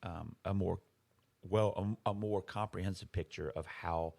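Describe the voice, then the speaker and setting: A man's voice: low-pitched, fairly even intonation, a little slow, gravelly, a little mellow. A man co-hosting a podcast, explaining ideas in casual conversation, emphatic and fond of rhetorical questions. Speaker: podcast co-host.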